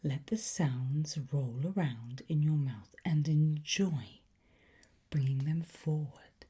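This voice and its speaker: Very slow, breathy whispering woman. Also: sits low. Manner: gentle, unhurried, encouraging. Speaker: ASMR host playing a language teacher. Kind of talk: whispered ASMR teacher roleplay.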